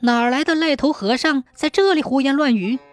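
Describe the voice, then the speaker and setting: Woman acting a high-pitched, scolding elderly parent. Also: moderate pace, dry recording, faint music behind. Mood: flustered, indignant. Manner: complaining, scolding, wailing. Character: nagging, indignant elderly parents of grown son.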